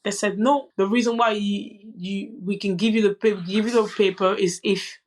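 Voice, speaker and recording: A woman's voice: mid-range voice, steady tone, moderate pace. Earnest young woman, French native speaker. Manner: earnest, many restarts and "you know" asides. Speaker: guest, boxer. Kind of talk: candid conversational storytelling.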